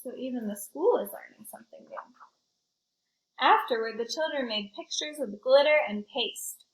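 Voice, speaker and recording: A woman reading a storybook aloud in an expressive, child-directed way, with chatty asides to her young listeners. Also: sits high, normal speed, roomy sound, poor audio. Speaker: school librarian reading aloud.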